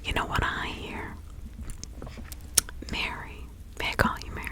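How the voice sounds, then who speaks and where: Woman playing a slow, whispering older witch. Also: pushed and bright. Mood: confiding, advising. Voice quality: breathy whisper. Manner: slow, halting, soothing, confiding. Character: kindly older village witch, 1650s, chatty and sympathetic. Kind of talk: ASMR roleplay.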